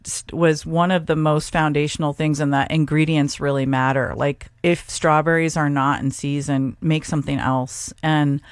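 A middle-aged woman chef from Seattle, talking thoughtfully in an interview with frequent pauses and restarts. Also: deep voice, clean tone, no echo, clean studio sound. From Seattle, Washington, USA. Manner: thoughtful, hesitant with many restarts. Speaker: chef and guest. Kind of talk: radio interview conversation.

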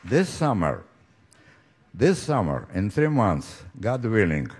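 A man in his late nineties with a Russian accent, born in Ukraine, telling a personal story on stage in a halting way, with frequent pauses and restarts. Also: lowish voice, big pitch swings, a little mellow, slightly rough, some chatter behind. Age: 90s. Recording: live audience in the room.

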